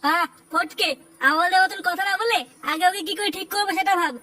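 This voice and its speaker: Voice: very high voice, steady tone, a little fast, very noisy. A performer voicing a bossy, hot-headed cartoon club chief who scolds in a comedy style.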